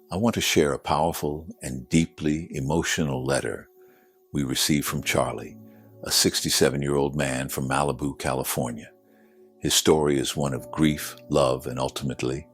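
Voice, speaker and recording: Male presenter, very deep register, solemn and sincere scripted letter reading, light music underneath. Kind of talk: slow scripted emotional narration. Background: background music.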